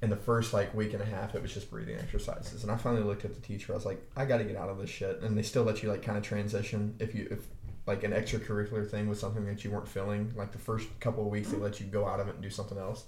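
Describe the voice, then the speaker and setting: A young man from Arkansas with a deep voice, co-hosting a podcast and telling laid-back stories full of restarts and swearing. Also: level tone, very fast, a little mellow, a little hiss or hum. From Arkansas, USA. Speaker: podcast co-host. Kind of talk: casual chat. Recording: echoey room.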